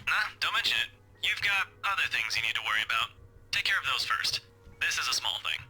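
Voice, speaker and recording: An actor playing a blunt, protective young policeman in a visual novel game, teasing and reassuring in a husky, breathy voice. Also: mid-range voice, animated, fairly quick, pushed and bright, soft background music, full character voice, poor audio. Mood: easygoing, playful.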